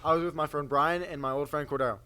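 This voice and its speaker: A young American man with a bright, slightly high voice. He talks in casual slang like a buddy recounting an anecdote, with a boyish manner, into a stick mic. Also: fairly even intonation, fast talker, clear, resonant tone. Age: young adult. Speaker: podcast co-host. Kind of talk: casual anecdote telling among friends. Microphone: stick microphone.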